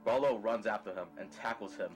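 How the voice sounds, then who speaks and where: Low-pitched male story narrator over music. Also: brisk. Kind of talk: scripted present-tense story narration.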